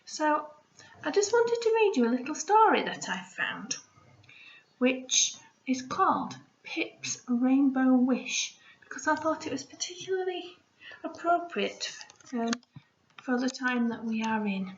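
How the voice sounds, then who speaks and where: A woman, a primary school teacher, reading a storybook aloud to children in an expressive way, with hushed asides to her listeners. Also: high voice, unhurried. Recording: roomy echo, slightly dull sound.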